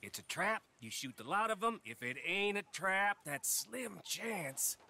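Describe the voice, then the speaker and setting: A sly, goading outlaw from a game cutscene. His voice is fairly high and piercingly sharp, drawling with insolence over faint background noise. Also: big pitch swings, unhurried, creaky, heavily acted. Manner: sardonic, needling, drawling. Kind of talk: dramatic video game acting.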